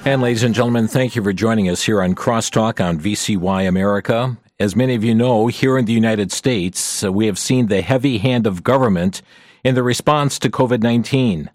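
A man hosting a radio talk show, earnest and measured as he addresses listeners directly. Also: lowish voice, a little mellow, no room sound, soft background music.

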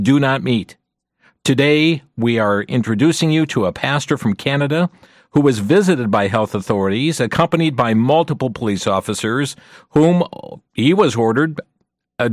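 A man hosting a radio talk show, earnest and measured as he addresses listeners directly. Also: medium pitch, no room sound, clean studio sound.

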